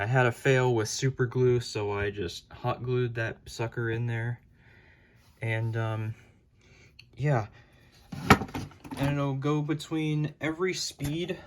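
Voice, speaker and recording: A man giving a casual, informal hands-on DIY demonstration as a hobbyist. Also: medium pitch. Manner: unhurried, informal. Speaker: hobbyist presenter. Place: his room at home. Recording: clearly noisy home recording with clicks.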